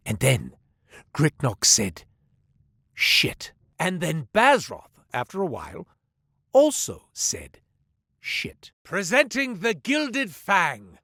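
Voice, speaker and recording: An actor playing a sly, smooth-talking male merchant who spins tales while selling gear, in a game. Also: high-pitched, sing-song, slow, bright, forceful tone, no echo, clean studio sound, full character voice. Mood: salesy. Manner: theatrical, salesman patter, wry. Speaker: shopkeeper narrating a tale. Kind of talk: studio game voice lines. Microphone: close studio mic.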